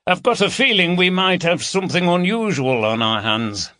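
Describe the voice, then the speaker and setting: A man's voice: high-pitched, animated, moderate pace, bright, forceful tone, no echo, pitched above the performer's own voice. Man putting on a hearty Yorkshire police chief. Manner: hearty, bantering, broad dialect. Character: jovial teasing middle-aged male Yorkshire police chief. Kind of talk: audiobook character voice.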